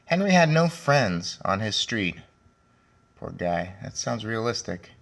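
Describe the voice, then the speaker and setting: A man with a lowish, creaky voice reads aloud to children like a teacher. He is deliberate and speaks straight to his young listeners, mixing story narration with simple commentary. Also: big pitch swings.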